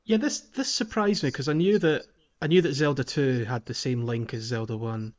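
A man's voice: medium pitch, normal speed, highs cut off. A man chatting casually as a co-host on a podcast discussion.

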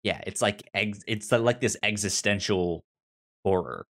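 A man with a fairly low voice co-hosts a casual discussion show. His delivery is halting and informal, and he stutters, repeating syllables and words as he goes. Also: a bit bright. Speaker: podcast co-host. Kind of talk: casual book and film discussion.

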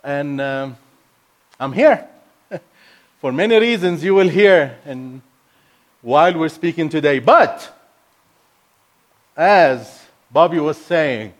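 A man from Egypt, a native Arabic speaker with an Egyptian accent, preaching as a guest speaker in an energetic, playful way with bursts of emphasis. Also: on the high side, slow pace, pauses often. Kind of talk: sermon.

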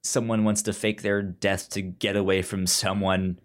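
A man's voice: deep voice, level tone, moderate pace. A man co-hosting a podcast in casual chat, stammering and often repeating words and syllables. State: short on sleep. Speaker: podcast co-host.

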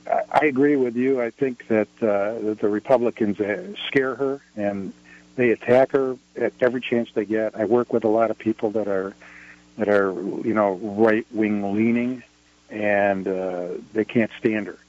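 A man's voice: lowish voice, fairly even intonation, moderate pace, a little mellow. Chatty male radio caller. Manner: chatty, hesitant with many fillers. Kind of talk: call-in phone conversation. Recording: muffled radio call audio.

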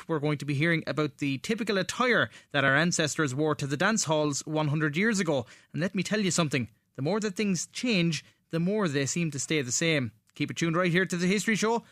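An Irish man hosts a radio programme with scripted links. His voice is on the high side and brightly projected, recorded in a very dry studio. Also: animated, brisk, few pauses, dry recording. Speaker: radio show host.

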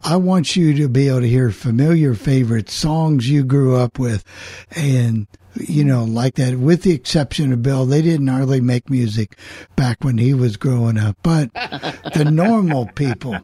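Mellow, husky man, dry close sound. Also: mid-range voice, a little slow, dry recording. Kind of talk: casual chat, explaining.

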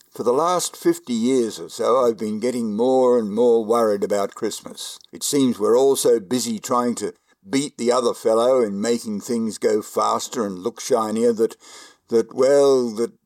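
A man playing a kindly, earnest old man who believes he is Santa, gentle, hesitant and folksy in an old-time radio drama. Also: medium pitch, natural voice. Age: older.

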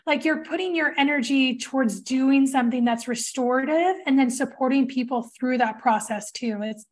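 High-voiced woman host, effusive. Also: normal speed. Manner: warm, effusive, gushing with praise. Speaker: podcast host. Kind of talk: interview questions.

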